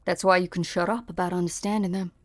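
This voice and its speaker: A woman playing a brusque, sharp-tongued frontier woman in an amateur game fan dub, blunt, slangy and scornful. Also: on the low side, steady tone, fast talker, lightly acted. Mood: challenging.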